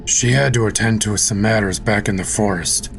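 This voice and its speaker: Low gravelly steady soldier, played by a man. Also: normal speed, noisy background. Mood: calm. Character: patient, level-headed male soldier and mentor. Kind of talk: audio drama acting.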